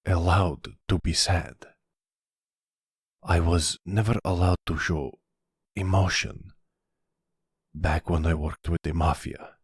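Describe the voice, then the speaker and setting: A man's voice: low voice, husky, no room sound, studio quality, exaggerated voice. A man playing a troubled, emotionally raw young son, speaking hesitantly and earnestly in an audio drama. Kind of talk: intimate audio roleplay drama.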